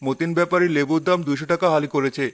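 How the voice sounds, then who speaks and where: A man voicing a calm, kind, well-off father in a cartoon, speaking gently. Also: a little high, very fast, close to an everyday voice. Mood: gentle. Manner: gentle, soft-spoken. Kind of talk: cartoon voice acting.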